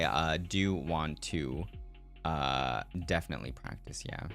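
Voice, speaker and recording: A man streams a casual, reflective chat in a very low voice with a bright edge. His pitch swings around a lot as he rambles and circles back over his own phrases. Music plays behind him. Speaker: virtual streamer. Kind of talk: casual chat to live viewers. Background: background music.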